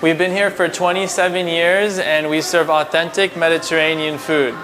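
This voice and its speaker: Flat-voiced man, street noise behind. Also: a little high. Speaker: restaurant owner. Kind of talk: interview.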